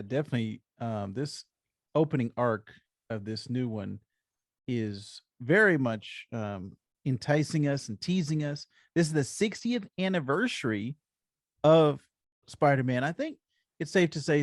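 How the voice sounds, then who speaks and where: A man's voice: medium pitch, animated, moderate pace, dry recording, studio quality. An American man talking casually as a comics podcast co-host, explaining things in an agreeable way. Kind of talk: casual chat.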